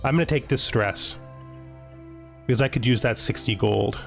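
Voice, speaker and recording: A man giving casual video game commentary, thinking aloud as he deliberates his choices. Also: medium pitch, vocal fry. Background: music.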